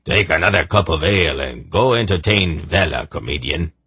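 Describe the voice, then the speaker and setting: A man's voice: low voice, expressive, moderate pace, bright, forceful tone, husky, no echo, full character voice. A man voicing a coarse, crafty male trader who talks bluntly. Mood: gruff.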